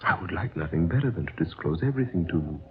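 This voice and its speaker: An actor playing a wise old mystical sage in a vintage radio drama, speaking gravely in formal, oracular phrases. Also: male voice, low voice, animated, quick pace, heavily acted. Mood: solemn, patient. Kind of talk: 1940s radio drama acting. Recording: noisy, dull vintage radio recording.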